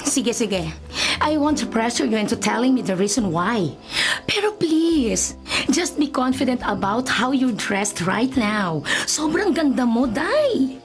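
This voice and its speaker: A young Filipino woman, the gushing and affectionate older sister who will not take no for an answer. Her high voice swoops with expression. It is radio drama acting over a clear music bed. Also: sing-song, takes it slowly. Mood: bossy, affectionate. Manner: gushing, insistent, mixes in English phrases. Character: bubbly, bossy, affectionate big-sister woman, Ate Karen.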